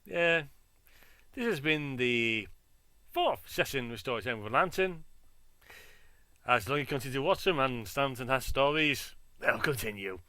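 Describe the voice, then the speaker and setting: A man's voice: medium pitch, expressive, moderate pace, long pauses, bright, forceful tone, no room sound. A man acting as host in a casual chat. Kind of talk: casual chat, show sign-off.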